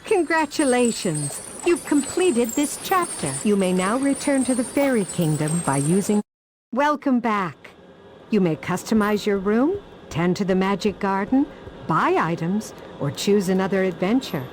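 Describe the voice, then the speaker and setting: A woman voicing a kindly guide who gives clear scripted instructions in a fairytale game. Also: medium pitch, animated, a little slow, faint music behind, close to an everyday voice. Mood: encouraging, instructive. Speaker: game narrator and tutorial guide.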